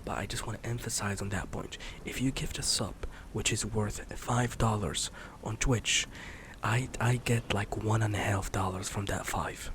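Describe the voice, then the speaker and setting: Soft-spoken young man with clear background noise. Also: on the low side, fairly even intonation, normal speed, hardly any pauses. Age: 20s. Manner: candid, swears casually, many fillers and restarts; drifts between soft speech and whisper. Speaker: streamer. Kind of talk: soft-spoken rambling vlog.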